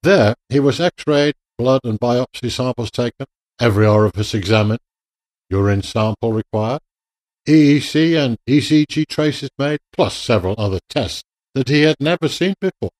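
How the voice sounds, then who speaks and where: A man narrating a radio drama in a measured, literary storytelling manner. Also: medium pitch, expressive, darkish tone, dry recording.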